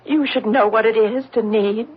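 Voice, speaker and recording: A woman playing a lonely, fearful, persistently pleading wife in a radio drama, with a husky voice. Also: fairly high pitch, fairly even intonation, moderate pace. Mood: accusing, hurt. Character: lonely, fearful, wounded wife of an overbearing businessman. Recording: dull lo-fi radio broadcast recording.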